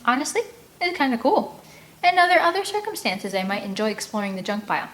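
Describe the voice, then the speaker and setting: A woman reading an audiobook aloud as host, with expressive narration and casual delivery. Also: fairly high pitch, fast talker.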